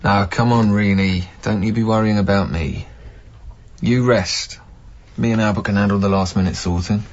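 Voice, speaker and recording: A man's voice: fairly low pitch, steady tone, moderate pace, muffled recording, lightly acted. An actor playing an earnest young expectant father, a reformed party-going DJ, in a naturalistic radio drama. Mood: weary, kind. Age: young adult.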